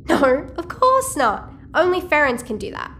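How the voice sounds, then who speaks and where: A woman's voice: high-pitched, huge pitch swings, normal speed, fairly bright tone, slight background noise, heavily acted. An actress playing a chatty, cheeky, nosy teenage girl in an audio sci-fi drama. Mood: cheeky, confident. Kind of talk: audio-fiction drama dialogue.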